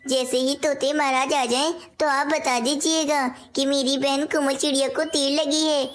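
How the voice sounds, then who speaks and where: A woman's voice: sits high, level tone, normal speed, lightly acted. A woman voicing a naive, dutiful female songbird in a cartoon, earnest and polite. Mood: trusting. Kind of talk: cartoon moral-story dialogue.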